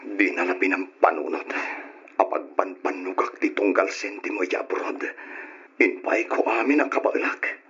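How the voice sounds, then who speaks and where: A man's voice: low-pitched, moderate pace, fairly bright tone, slightly rough, full character voice. An actor playing a bitter, wounded husband and devoted father in an old-style radio drama, emotional and pained in his delivery. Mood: bitter, hurt. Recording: dull lo-fi radio drama recording.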